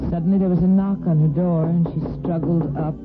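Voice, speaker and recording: A woman's voice: lowish voice, steady tone, brisk. Woman narrating over music on tinny old radio. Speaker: narrator and host. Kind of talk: dramatic soap opera narration.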